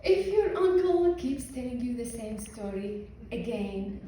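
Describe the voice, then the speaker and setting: A woman from Syria, a physician giving a wry, humorous storytelling talk with deliberate pauses. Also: fairly high pitch, sing-song, dark tone, slight background noise. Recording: echoey hall from far off.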